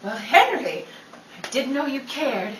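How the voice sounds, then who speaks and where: A woman's voice: high voice, sing-song, a little slow, fairly bright tone, heavily acted. A woman acting a dramatic, bantering character with exclamatory delivery in a stage comedy. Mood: mock dramatic. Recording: echoey, distant stage recording with faint noise.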